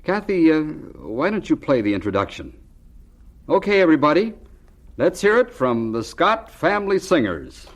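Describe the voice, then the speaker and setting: A man playing a kindly, hesitant family father in a radio drama, halting, folksy and affectionate. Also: a little high, big pitch swings, slight background noise. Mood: forced cheer.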